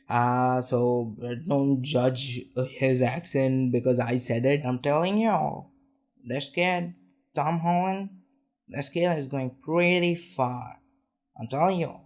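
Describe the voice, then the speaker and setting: A young man hosting a casual tech news roundup, chatty and self-deprecating, with frequent restarts and asides. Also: mid-range voice. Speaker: tech news show host.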